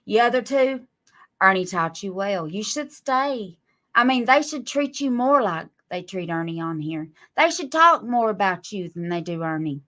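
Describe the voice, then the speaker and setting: A woman's voice: mid-range voice, lively intonation, moderate pace, pushed and bright. A woman, scolding and mocking her critics on a live stream in a sarcastic drawl. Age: 40s. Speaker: livestream guest.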